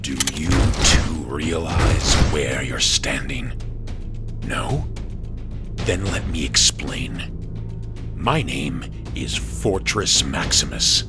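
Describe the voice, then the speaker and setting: An actor playing a huge, vengeful robot soldier delivering a menacing, dramatic monologue in a sci-fi audio drama. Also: male voice, low voice, full character voice.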